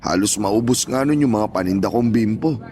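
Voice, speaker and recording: A man's voice: fairly low pitch, normal speed, a little mellow, close to an everyday voice. An actor playing a kindly, hardworking older father and former street vendor in a Tagalog audio drama, with a Filipino accent and reassuring, plain-spoken manner. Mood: warm, grateful. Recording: music bed behind voice.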